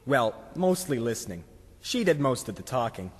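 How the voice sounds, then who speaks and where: A man voices a curious young schoolboy in a puppet show. The voice is husky and swings up and down in pitch, with earnest energy and some hall reverb. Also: sing-song, moderate pace. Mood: eager. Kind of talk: children's Christmas puppet show.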